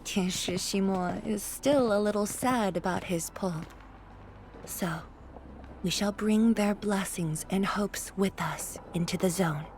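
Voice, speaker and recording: Slow-spoken, solemn woman leader. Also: medium pitch, a little mellow, slight background noise. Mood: solemn resolve. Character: solemn woman leader returning to her ruined homeland. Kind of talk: video game story voice acting.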